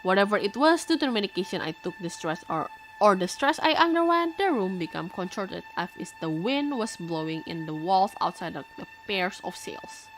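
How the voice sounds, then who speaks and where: A woman with an Indonesian accent, a native Indonesian speaker, streaming as a VTuber, reading a visual novel aloud and chatting with viewers, expressive and quick to react. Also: medium pitch, rough recording. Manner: expressive, reacts loudly, switches quickly between reading and chat. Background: game music.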